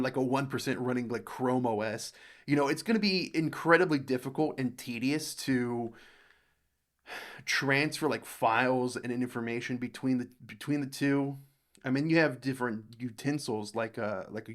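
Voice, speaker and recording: A young American man talks casually in a mid-pitched voice, reading viewer comments aloud and mulling them over. He rambles, trails off and restarts his sentences as he works out his thoughts. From United States. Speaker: reaction video host.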